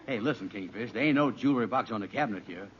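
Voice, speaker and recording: A man acting a gullible, slow-witted, nervous sidekick who speaks in a folksy, ungrammatical way in an old-time radio sitcom. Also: fairly low pitch, over a noisy crowd, natural voice. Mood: scared. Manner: folksy, ungrammatical, dim and hesitant. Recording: very noisy, muffled vintage radio recording.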